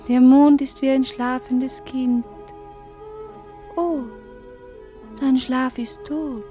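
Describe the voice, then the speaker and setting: An actress playing a melancholy, dreamy young princess in a classic radio play, hushed and poetic. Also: female voice, fairly high pitch. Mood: hushed sorrow. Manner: hushed, poetic, often half-whispered.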